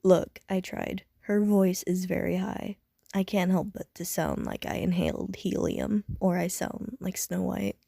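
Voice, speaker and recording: A woman plays a young gamer girl in an intimate audio roleplay. Her voice is mellow and close, with an unhurried, slangy delivery. She is hesitant, dry and a little prickly, always ready to mock herself. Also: on the low side, creaky, no echo. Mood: sheepish, self-mocking. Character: casual, self-deprecating, slightly prickly young woman gamer. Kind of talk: intimate audio roleplay, casual chat to the listener.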